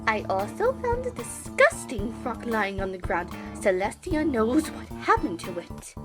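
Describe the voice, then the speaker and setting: A woman's voice: fairly high pitch, sing-song, measured pace. A woman voicing a brash, cocky, playful country mare pony in an audio story. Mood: blunt. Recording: music under the voice.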